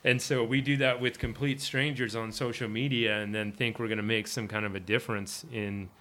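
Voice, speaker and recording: A man's voice: lowish voice, flat delivery, fairly quick, sharp tone. A man in a casual interview, a firefighter speaking reflectively, rambling with fillers and restarts. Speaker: firefighter guest.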